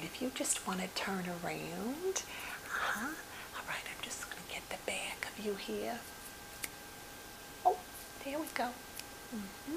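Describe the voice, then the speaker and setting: A woman playing a gentle, caring psychotherapist in an ASMR roleplay, speaking softly and often whispering in a hesitant, reassuring way. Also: medium pitch, poor audio. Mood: gentle, delighted. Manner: hesitant, soothing, reassuring, with many soft pauses. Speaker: ASMR performer.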